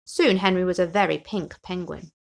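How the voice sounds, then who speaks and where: A woman reading a children's picture book aloud as an expressive storyteller. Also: fairly low pitch, normal speed, creaky. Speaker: story reader.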